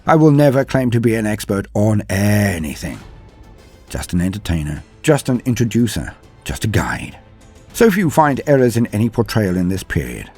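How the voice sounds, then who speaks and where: Husky, low-voiced man narrating lore. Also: darkish tone. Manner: theatrical, florid wording, self-deprecating. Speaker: lore channel host and narrator. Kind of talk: scripted lore narration with chatty asides. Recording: music under the voice. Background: background music.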